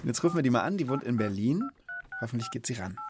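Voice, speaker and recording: A man's voice: mid-range voice, sing-song, fast talker, a little mellow, a little hiss or hum. A man hosting a children's podcast, talking and asking questions in a conversational way. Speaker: podcast host.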